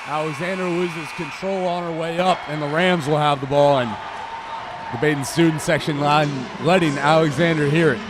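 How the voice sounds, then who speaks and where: A man's voice: on the high side, steady tone, moderate pace. A young American man doing live basketball play-by-play in clipped phrases that follow the action. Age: young adult. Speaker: play-by-play commentator. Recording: live gymnasium commentary over loud court noise. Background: basketball bounces and gym noise.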